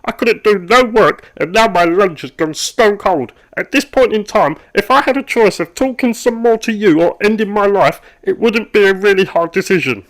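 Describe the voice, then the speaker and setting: An actor playing a long-suffering, irritable office worker in an animated comedy, terse and strained in his politeness. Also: mid-range voice, normal speed, poor audio. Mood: furious outburst. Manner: terse, forced politeness, exasperated. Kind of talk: comic animated dialogue.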